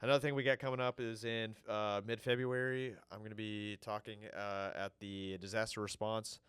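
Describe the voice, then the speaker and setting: A man co-hosting a casual podcast over a remote call, sounding nasal from a cold and easygoing, with drawn-out fillers. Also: on the low side, little pitch movement, fairly bright tone, clear, resonant tone, dry recording. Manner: easygoing, drawn-out fillers, upbeat sign-offs. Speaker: podcast co-host. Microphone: virtual podcast, remote call.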